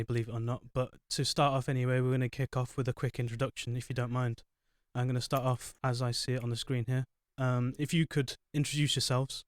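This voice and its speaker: A man's voice: medium pitch, clear, resonant tone, no echo, clean studio sound. A man hosting a casual live podcast, thanking viewers and rambling a little with plenty of fillers. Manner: chatty, apologetic, rambling with fillers. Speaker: podcast host.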